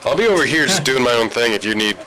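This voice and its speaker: Man, mid-pitched voice, casual gamer banter, teasing, sweary, light noise on voice chat. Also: sing-song, fast talker, bright, forceful tone. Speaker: online game player. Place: online game voice chat. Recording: noisy voice chat recording.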